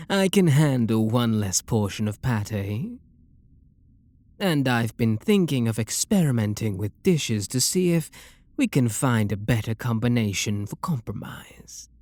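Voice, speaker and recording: A man playing a refined, possessive vampire lord with a British accent in an ASMR roleplay, speaking softly and deliberately in a genteel, flirtatious manner. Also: mid-range voice, expressive, no room sound. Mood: patient, negotiating.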